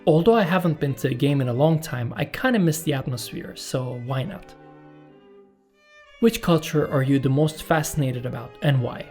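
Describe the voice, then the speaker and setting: A Turkish man, a native Turkish speaker, hosting his channel and reading out viewer questions and his answers in a calm, measured voice-over. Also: a little high, soft background music. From Turkey. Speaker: YouTube channel host. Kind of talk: scripted Q&A voice-over.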